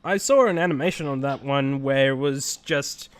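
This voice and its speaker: A young man co-hosting a casual chat show, telling animated stories and laughing often. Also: medium pitch, moderate pace. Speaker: podcast co-host.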